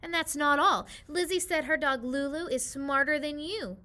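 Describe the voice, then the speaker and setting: A cross little girl grumbles through a storybook in a woman reader's voice. Her tone is bright and high, with a whiny, indignant edge to every complaint. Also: moderate pace. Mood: complaining. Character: young girl, sulky and complaining.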